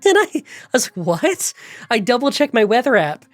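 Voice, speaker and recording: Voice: mid-range voice, sing-song, moderate pace, no room sound, soft background music. Nasal, congested gaming YouTuber. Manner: chatty, rambling storytelling. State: congested from a cold. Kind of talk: game challenge voiceover recap. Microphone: close voiceover mic.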